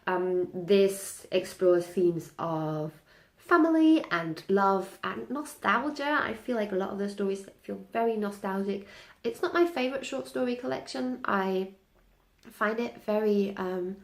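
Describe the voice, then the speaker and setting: A woman's voice: mid-range voice, animated, a little slow. Chatty German-native woman, roomy sound. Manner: chatty, many ums and restarts, light laughs. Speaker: book vlogger. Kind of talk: casual monthly reading recap.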